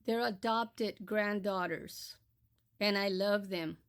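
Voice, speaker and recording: Slow, firm older woman from Texas. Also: mid-range voice, steady tone. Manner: firm, admonishing, deliberate. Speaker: social media creator. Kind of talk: direct-to-camera monologue.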